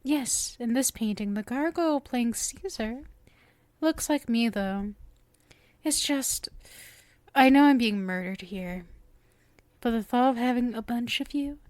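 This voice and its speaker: Woman acting a slow, high-voiced lovestruck witch. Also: a little mellow, dry recording, lightly acted. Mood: dreamy, lovestruck. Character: sweet, doting, obsessive yandere witch, playful and lovestruck. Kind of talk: ASMR roleplay acting, addressed to the listener. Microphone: close microphone.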